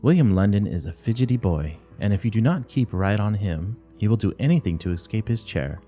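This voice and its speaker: A man's voice: fairly low pitch, animated, brisk, warm tone, soft background music, close to an everyday voice. A man voicing a strict older nun who runs a school, speaking curtly and moralizing in a play reading. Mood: severe, lecturing. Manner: curt, severe, moralizing.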